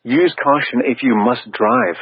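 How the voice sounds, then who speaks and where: A synthetic male text-to-speech voice reading scripted weather warning bulletins over weather radio, formal and measured, with stilted phrasing. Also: mid-range voice, slight background noise. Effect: weather radio broadcast. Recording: tinny narrow-band weather radio.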